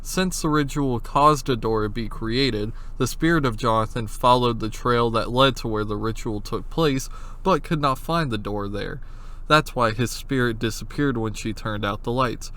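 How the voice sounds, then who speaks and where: A man's voice: mid-range voice, moderate pace, sharp tone. Man narrating casual, explanatory horror commentary. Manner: informal, explanatory. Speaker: video essayist, horror series analyst.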